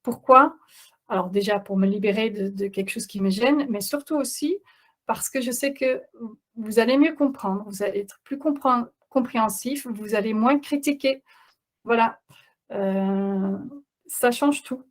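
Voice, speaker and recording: A somewhat high-pitched woman coach presenting in a webinar. She is not a native speaker and talks in a halting way, full of pauses, fillers and restarts as she explains her examples. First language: not French. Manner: hesitant, many fillers and restarts. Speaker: parenting coach.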